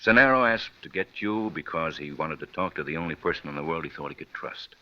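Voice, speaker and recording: A stern prison warden in an old radio drama. His voice is very deep, his delivery formal, measured and shrewd, and the recording is dull and dated. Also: expressive. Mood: quietly compassionate. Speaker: actor in a radio detective drama. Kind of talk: mid-century American radio drama acting.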